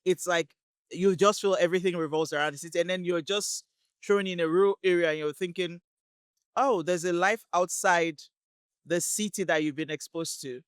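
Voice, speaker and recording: A woman from Lagos, speaking as a guest in a relaxed interview. Her voice is smooth and clear, and the recording is close and dry. She talks thoughtfully, pausing now and then to find the right words. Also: fairly low pitch, dry recording. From Lagos, Nigeria. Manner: thoughtful, unhurried, pauses to search for words. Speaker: guest, community relations worker. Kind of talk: casual interview chat.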